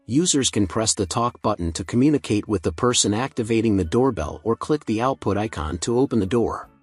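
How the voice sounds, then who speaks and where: Low, slightly husky male tutorial narrator. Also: lively intonation, fairly quick, no room sound, faint music behind. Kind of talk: scripted instructional narration.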